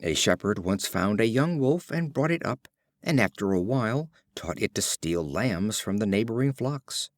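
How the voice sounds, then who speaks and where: A man reading short fables as an audiobook narrator, in a measured, expressive storytelling delivery. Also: lowish voice, no room sound, clean studio sound.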